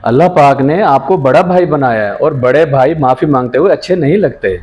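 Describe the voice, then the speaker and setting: A man's voice: mid-range voice, a little mellow, natural voice. Man acting as plaintive, hard-up younger brother. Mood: grateful. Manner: plaintive, respectful. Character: troubled, humble, hard-up younger brother and uncle. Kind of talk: amateur moral drama acting in Urdu. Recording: slightly dull lo-fi sound.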